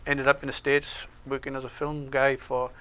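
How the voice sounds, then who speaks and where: Welsh guy, flat steady delivery, lots of uh's, crisp clear voice. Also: mid-range voice, normal speed. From Wales. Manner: relaxed, hesitant with many uh fillers. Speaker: show co-host and security instructor. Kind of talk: casual interview chat.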